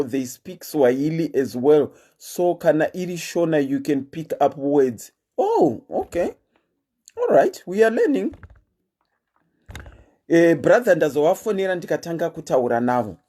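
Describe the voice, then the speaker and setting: A Zimbabwean woman hosts her own show, talking straight to her listeners in a casual, storytelling way. Her voice is expressive and animated, rising and falling a lot as she chats, with a sprinkling of "eh" between thoughts. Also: sits low, unhurried. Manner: animated, chatty storytelling with frequent "eh" fillers. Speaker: host.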